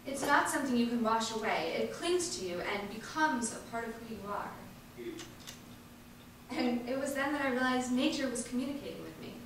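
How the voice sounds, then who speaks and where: A woman giving an earnest, emphatic dramatic reading of a first-person monologue, with deliberate pauses. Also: a little high, animated. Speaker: reader performing an activist's first-person testimony. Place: large indoor event space, distant microphone. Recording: echoey hall from far off, faint noise.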